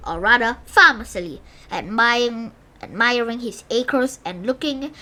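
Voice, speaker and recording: A woman's voice: a little high, pushed and bright. A woman reading a children's storybook aloud with playful, emphatic expression. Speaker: storybook reader.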